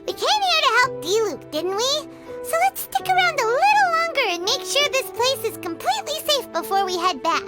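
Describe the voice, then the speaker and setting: A woman voicing a tiny, chirpy, excitable female fairy companion in a video game, with a squeaky childlike voice and expressive, exclamatory delivery. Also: fairly quick. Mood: coaxing, chatty. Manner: refers to self in third person, exclamatory, expressive. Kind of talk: video game character dialogue. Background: game music.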